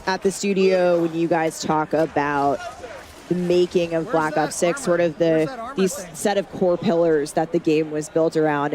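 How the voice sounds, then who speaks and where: A man's voice: on the high side, darkish tone. A man hosting a casual live panel chat and interviewing guests, talking conversationally. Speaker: panel host interviewing game developers. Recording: busy crowd noise behind.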